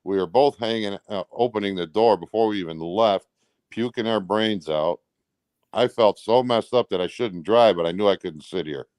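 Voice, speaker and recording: Low, smooth-voiced man, casual and defensive. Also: moderate pace, a little mellow, dry recording. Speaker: interview guest, truck driver. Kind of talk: casual interview answers.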